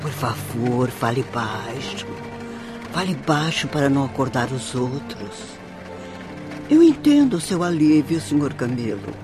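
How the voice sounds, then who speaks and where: A man acting a gentle, pious caretaker of souls, soothing and measured, in a radio drama. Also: mid-range voice, very animated, music in the background. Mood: gentle, reassuring.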